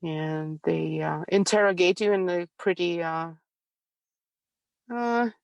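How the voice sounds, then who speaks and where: A middle-aged woman from Ukraine with a Russian accent, a Russian native speaker telling her story calmly as an interview guest. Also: lowish voice, slow, vocal fry, no room sound, highs cut off. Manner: calm, reflective, soft laughs.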